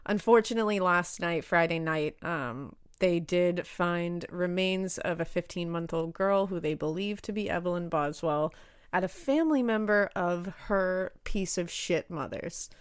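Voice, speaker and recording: Animated Boston woman podcaster. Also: on the low side, a little slow, clean tone, dry recording, clean studio sound. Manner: animated, swears freely, laughs often. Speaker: podcast co-host. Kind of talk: casual podcast chat.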